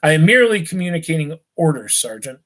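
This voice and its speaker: A man's voice: fairly high pitch, sing-song, fast, fairly bright tone, pitched above the performer's own voice. A man reading the lines of a dutiful British soldier delivering orders, in a matter-of-fact way. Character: plain male redcoat messenger, dutiful.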